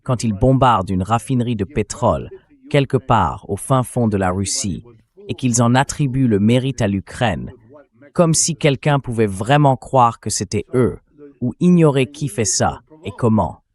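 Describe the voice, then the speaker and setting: A man's voice: medium pitch, lively intonation, normal speed, a little mellow. A man's synthetic AI voice-over delivering conversational, explanatory political commentary as a dubbed interview.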